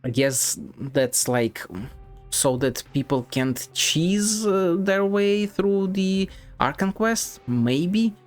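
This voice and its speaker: A man's voice: sits high, expressive. Blunt, sweary man commenting on a game. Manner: blunt, sweary, halting and repetitive. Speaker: game streamer. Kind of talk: casual critical game commentary. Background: game music.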